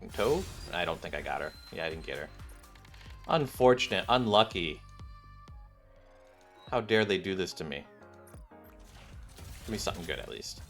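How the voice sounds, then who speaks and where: A man with a lowish voice talks casually over a video game stream. He rambles and mutters to himself as he plays, with game music behind him. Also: gravelly, a bit bright. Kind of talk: casual live stream chat over gameplay.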